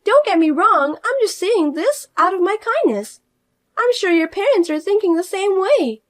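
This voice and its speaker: A woman voicing a spoiled, arrogant sister-in-law who talks down to everyone in a shrill, scolding way. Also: very animated, normal speed. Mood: indignant. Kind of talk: audio story voice acting.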